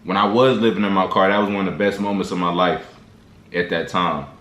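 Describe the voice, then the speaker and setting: A man's voice: sits low, steady tone, fairly quick, fairly bright tone. A man vlogger giving casual, slangy, rambling motivational advice to his viewers. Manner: slangy, loose and rambling, lots of restarts. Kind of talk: casual motivational talk to camera. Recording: echoey room.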